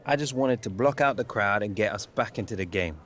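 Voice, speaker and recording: Young male actor as pro footballer: lowish voice, guarded, quietly defiant, naturalistic game cutscene delivery. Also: fairly quick, a little hiss or hum, lightly acted. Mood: guarded, composed. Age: young adult.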